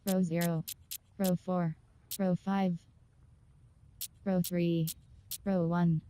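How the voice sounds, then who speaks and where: A woman speaking single menu commands in a flat, slow way. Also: fairly low pitch, slight background noise. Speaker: menu voice navigation.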